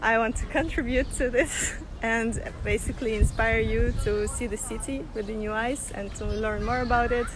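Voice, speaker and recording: A woman's voice: a little high, fairly even intonation, moderate pace, hardly any pauses. A young woman from Russia leading a live-streamed walking tour outdoors, talking loosely and laughing often. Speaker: tour guide. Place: sunny city streets outdoors. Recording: phone audio with street noise.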